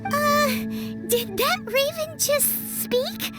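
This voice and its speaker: Tiny excitable childlike game companion, squeaky, wide-eyed, slow, with music behind. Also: female voice, full character voice. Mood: baffled. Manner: squeaky, wide-eyed, stumbles over big words. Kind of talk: video game voice acting.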